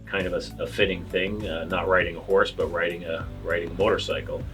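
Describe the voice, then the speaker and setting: A man in his fifties from Canada, talking reflectively in a documentary interview, often pausing and restarting his phrases. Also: sits low, little pitch movement, a bit bright, faint music behind. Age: 50s.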